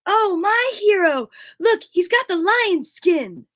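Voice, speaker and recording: Woman playing gushing sweetheart, very high sugary voice. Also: sing-song, slow pace, fairly bright tone, no room sound, exaggerated voice. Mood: adoring. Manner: sugary, effusive. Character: gushing sweetheart dame, adoring and melodramatic. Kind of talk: amateur old-time radio comedy acting.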